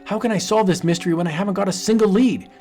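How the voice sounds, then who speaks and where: A man's voice: sits high, quick pace, darkish tone, soft background music, pitched above the performer's own voice. A man playing a wisecracking cartoon detective. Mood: puzzled. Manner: wisecracking and breezy. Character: brash young male police detective.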